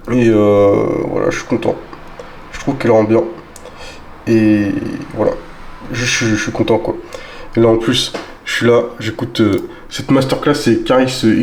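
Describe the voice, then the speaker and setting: A man's voice: medium pitch, a little hiss or hum. A young man vlogging casually about his week, rambling with lots of fillers and restarts, sounding stuffy from a cold. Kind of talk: casual weekly vlog chat.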